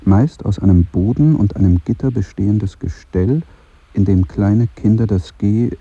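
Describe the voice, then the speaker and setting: A man's voice: fairly low pitch, measured pace, warm tone, slightly rough, no room sound, highs cut off. An actor playing a dry, pedantic man reading dictionary definitions in a radio play, formal and matter-of-fact. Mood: dry, factual. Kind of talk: radio play, recited encyclopedia entries.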